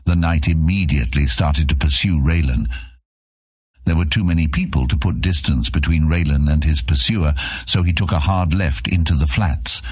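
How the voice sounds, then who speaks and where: Really deep voiced guy reading a fantasy audiobook, kinda raspy, dramatic, super dry close sound. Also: normal speed, no room sound. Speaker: audiobook narrator. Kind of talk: scripted narration of an epic fantasy story.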